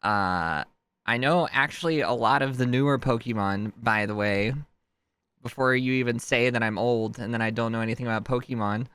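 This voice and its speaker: A man's voice: moderate pace, fairly bright tone, clear, resonant tone, no echo. A man streaming alone, rambling casually and interrupting himself, his nose stuffed up from crying. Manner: rambling, self-interrupting, chuckling, jumps in pitch.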